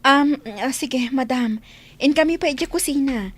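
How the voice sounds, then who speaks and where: Woman acting a high-voiced, shy young housemaid. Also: moderate pace, close to an everyday voice. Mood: polite. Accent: Ilocano. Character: shy, hesitant young housemaid, polite to her masters. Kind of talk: Ilocano radio drama acting.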